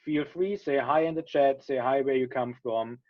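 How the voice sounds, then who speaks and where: Middle-aged German host on a video call, mid-pitched, dull lo-fi sound, filler-heavy and friendly. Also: male voice, normal speed. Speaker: webinar host. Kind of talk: casual webinar hosting.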